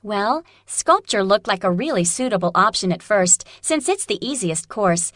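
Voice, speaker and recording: A woman playing a practical young student in a scripted, measured and clearly enunciated listening-test dialogue. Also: mid-range voice, animated, fairly bright tone, no echo, studio quality, lightly acted. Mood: matter-of-fact. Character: practical female student weighing art courses.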